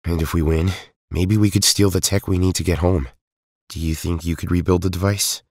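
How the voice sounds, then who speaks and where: A man acting as an earnest, steady hero in an audio drama. His voice is deep and his delivery is measured and serious. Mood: earnest, resolute. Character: Tommy, steady, earnest male Power Ranger hero from another world.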